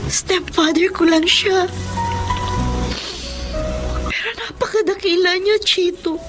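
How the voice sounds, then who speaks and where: Young Filipina daughter role: very high voice, distressed, pleading, heartfelt melodrama, music underneath. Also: female voice, slow pace. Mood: solemn, emotional. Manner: emotional, earnest, pleading. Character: devoted, distressed young adult daughter of an ailing stepfather.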